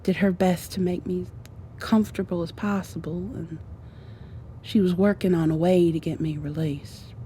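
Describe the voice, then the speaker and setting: A woman voicing a weary, frightened imprisoned mother with breathy, faltering speech in an ASMR roleplay drama. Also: on the low side, steady tone, moderate pace, dark tone, slight background noise, lightly acted. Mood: wistful, concerned.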